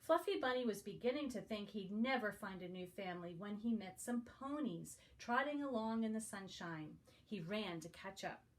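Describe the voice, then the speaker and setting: A woman's voice: mid-range voice, expressive, moderate pace, hardly any pauses. A woman reading a picture book aloud to young children as a guest reader. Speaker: guest story reader for preschoolers.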